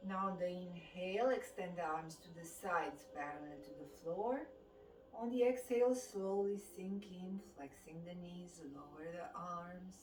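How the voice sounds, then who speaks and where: A woman with a Romanian accent leads a guided exercise class as the instructor, giving measured movement and breathing cues. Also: on the low side, a little mellow. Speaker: fitness and qigong instructor. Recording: distant mic, echoey room, background noise.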